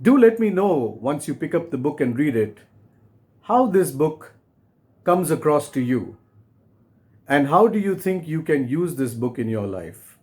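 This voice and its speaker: A man presenting and reading his own book to listeners in a deliberate, measured way, in short phrases with pauses. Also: a little high, sing-song, darkish tone.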